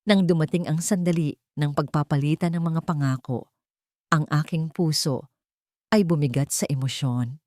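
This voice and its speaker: A woman's voice: sits low, steady tone, normal speed, darkish tone, no room sound, studio quality. A woman reading a scripted first-person romance story as a dramatic narrator.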